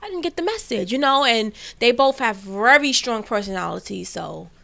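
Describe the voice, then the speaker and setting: A woman's voice: a little high, animated, normal speed, creaky, a bit bright. A woman guest on a radio show, a member of a music duo, talking openly and frankly. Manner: outspoken, candid. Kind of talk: casual radio interview chat.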